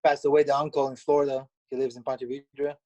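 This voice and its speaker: A man from Canada, a pizzeria owner, answering interview questions in a halting way with many fillers. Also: medium pitch, flat delivery, a little mellow.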